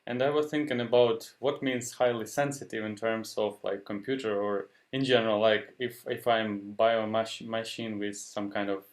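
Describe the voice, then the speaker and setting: A man with a Ukrainian accent, a Ukrainian native speaker, talking in a halting way and often stopping to search for words. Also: fairly low pitch, steady tone. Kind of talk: casual discussion.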